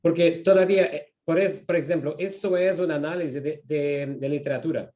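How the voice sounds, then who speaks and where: A man's voice: on the high side, steady tone, normal speed, darkish tone. A man taking part in an online seminar discussion, hesitant and searching for words over a video call. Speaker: seminar participant.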